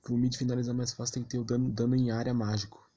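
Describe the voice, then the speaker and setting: A Brazilian man talks quickly through casual game commentary in a husky voice. He is blunt and slangy, swearing mildly as he goes. The sound is dull and lo-fi. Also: medium pitch, flat delivery, warm tone. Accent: Brazilian Portuguese. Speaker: gameplay streamer.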